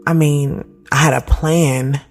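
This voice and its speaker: A woman's voice: sits low, fairly even intonation, slow pace. A woman playing a reflective, conflicted thirty-year-old who narrates her own audio drama in a candid, slangy, conversational way. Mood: frustrated, reflective. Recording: music behind the voice. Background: music.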